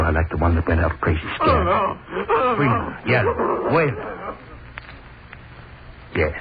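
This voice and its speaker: An actor playing a sadistic, haunted middle-aged hangman in a brooding, obsessive 1930s radio horror monologue. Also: low voice. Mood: relishing. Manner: brooding, obsessive, repeats words. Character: gloating, sadistic, haunted old-time hangman. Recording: muffled, noisy 1930s radio recording.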